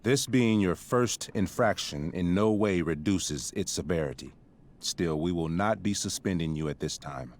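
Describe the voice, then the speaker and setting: A man's voice: sits low, unhurried, a little mellow. A man playing a stern, pompous middle-aged school principal in a dramatic video game, speaking in formal, wordy phrases. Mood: grave, disappointed.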